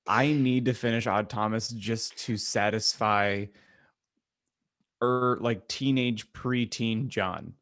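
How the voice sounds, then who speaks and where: Lowish-voiced man in casual, joking chat. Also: fairly even intonation, slow pace, fairly bright tone, no room sound, studio quality. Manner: informal, joking, crude humour. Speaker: podcast co-host.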